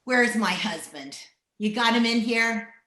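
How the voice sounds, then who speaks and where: A woman's voice: on the high side, expressive, measured pace, pushed and bright, slight background noise. A woman playing a mean, vengeful older rancher's wife in a radio western, with blunt rural speech. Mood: impatient, demanding. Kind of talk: old-time radio western acting. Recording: roomy, echoing home video-call recording.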